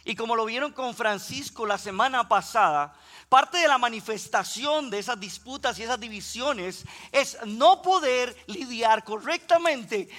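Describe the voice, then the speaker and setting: High, bright Costa Rican preacher. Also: male voice. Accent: Costa Rican Spanish. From Costa Rica. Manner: builds in intensity when preaching, reads Scripture aloud. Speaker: guest preacher. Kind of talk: sermon.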